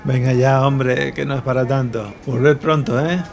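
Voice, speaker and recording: Man playing a creaky-voiced friendly local guide. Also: mid-range voice, steady tone, unhurried. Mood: reassuring, friendly. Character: Torvald, friendly, helpful, modest young local guide. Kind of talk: Spanish audio fiction acting.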